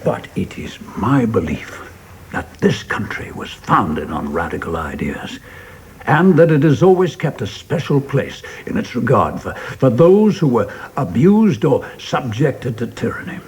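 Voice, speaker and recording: An actor playing a principled, troubled government secretary in a radio play, speaking earnestly and haltingly. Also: male voice, mid-range voice, sing-song, heavily acted. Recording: clearly noisy background ambience.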